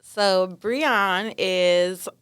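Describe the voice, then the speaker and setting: A woman's voice: medium pitch, extremely slow, a bit bright, smooth, no echo, studio quality. A young woman from Dallas, talking loosely and candidly as a guest on a podcast, laughing often and swearing freely. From Dallas, Texas. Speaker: guest rapper. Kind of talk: casual interview chat. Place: podcast studio.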